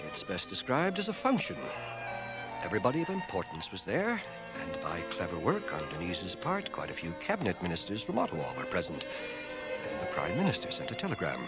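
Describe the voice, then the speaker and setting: A reserved middle-aged gentleman in a radio play. His low voice moves widely in pitch, yet his wording stays precise, measured and dry. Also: wildly swooping pitch, loud music behind the voice, full character voice. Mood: wry narration. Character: reserved, dryly observant older gentleman, narrator and confidant. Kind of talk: radio drama acting and narration. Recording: 1980 radio drama on cassette.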